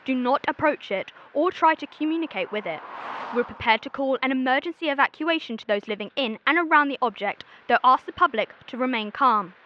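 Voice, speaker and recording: A woman's voice: sits high, expressive, brisk, dull audio. A young woman playing a commanding, impatient figure in charge during an emergency in a radio drama. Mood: grave, commanding. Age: young adult. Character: commanding military general, bossy and impatient under pressure. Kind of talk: modern radio drama acting. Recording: background noise, outdoor street ambience.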